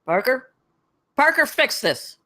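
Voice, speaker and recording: A woman's voice: sits high, big pitch swings, slow, bright, forceful tone, full character voice. A woman reading the part of an impatient, commanding campus security captain at a script table read.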